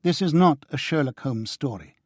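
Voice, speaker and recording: A man's voice: a little high, lively intonation, moderate pace, a little mellow, husky, no echo, clean studio sound, voice pushed up. A man reading a stern, curt, businesslike woman who serves as a secret contact in an audiobook.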